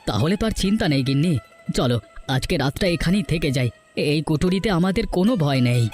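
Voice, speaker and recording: A proud, homeless village father from a cartoon folk tale , acted by a man. His voice sits a bit high and he declaims earnestly. Light music plays underneath. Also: lively intonation, fairly quick, a little mellow, lightly acted. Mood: caring. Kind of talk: Bengali cartoon folk-tale dialogue acting.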